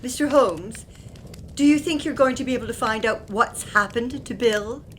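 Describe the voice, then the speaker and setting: A woman's voice: on the high side, normal speed, a little hiss or hum, lightly acted. Composed period-drama wife, played by a woman. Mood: concerned. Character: composed, helpful, concerned wife of a missing man. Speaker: actor in audio drama. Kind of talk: audio theatre period mystery acting.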